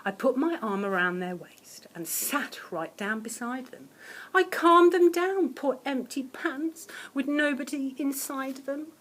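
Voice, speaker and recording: A woman reads a picture book aloud to children in a high voice that swoops expressively from line to line. She takes her time, with slow, dramatic phrasing that moves between hushed suspense and stronger emphasis. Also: expressive. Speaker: primary school teacher reading a story to children.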